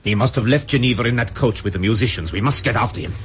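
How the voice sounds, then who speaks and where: An actor playing a cold, commanding KGB major in a radio drama, giving clipped, menacing orders. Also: male voice, mid-range voice, fast talker, breathy edge. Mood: menacing, alert.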